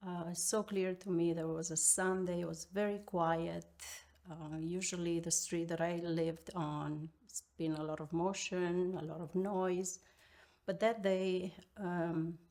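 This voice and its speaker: A woman in her fifties from Romania with a Romanian accent, telling her life story as an interview guest in a halting, reflective way with many pauses. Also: lowish voice, darkish tone.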